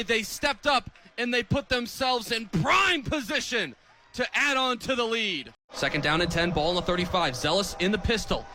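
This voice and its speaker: A man in his twenties calling live American football on radio as play-by-play announcer, with an animated, excitable delivery. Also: high voice. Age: 20s. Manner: animated, builds from clipped calls to excited shouting. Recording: stadium crowd behind the commentary. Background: stadium crowd.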